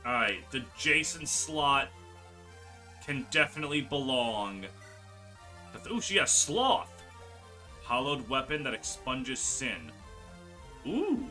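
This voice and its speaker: Bright, animated man over game music. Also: mid-range voice. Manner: animated, exclamatory, drawn-out words for emphasis. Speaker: gaming video host. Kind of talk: playthrough commentary, reading game dialogue aloud. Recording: music behind the voice.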